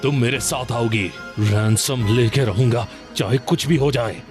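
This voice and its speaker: Cartoon villain kidnapper voiced by a man, mid-range, menacing and demanding, clear music bed under the lines. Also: medium pitch, measured pace, lightly acted. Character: menacing adult male kidnapper after ransom. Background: prominent background music.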